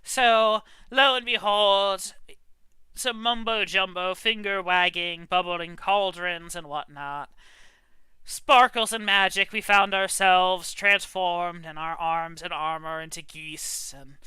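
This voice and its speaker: Someone playing a folksy, bright-voiced male animal storyteller. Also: medium pitch, steady tone, slow pace, clean tone, no echo, low-quality recording. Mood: aggrieved storytelling.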